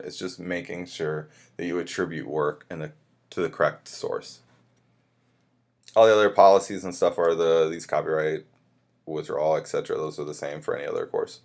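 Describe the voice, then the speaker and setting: A man speaking as a college instructor, walking students through a course in an informal, conversational and reassuring way with self-corrections. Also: low voice. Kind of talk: informal course orientation lecture, explaining syllabus to students.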